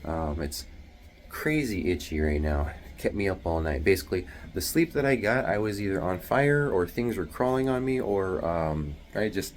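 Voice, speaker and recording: A man with a very deep voice, talking hushed and rambling with many fillers while explaining delivery-driver pay in a casual vlog from a small bathroom at home. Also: animated, normal speed. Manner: hushed, half-whispering to avoid waking others.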